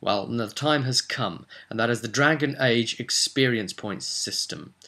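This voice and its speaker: A man's voice: medium pitch, unhurried, bright, forceful tone. A man presenting a tabletop role-playing video as an enthusiastic explainer, emphatic and chatty, with rhetorical asides to viewers.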